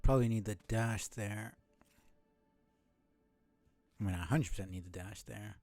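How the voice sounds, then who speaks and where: A man's voice: fairly low pitch, unhurried, darkish tone, no room sound, soft background music. A man streaming a game live, thinking aloud casually, often correcting himself and swearing mildly. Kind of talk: casual live gameplay commentary.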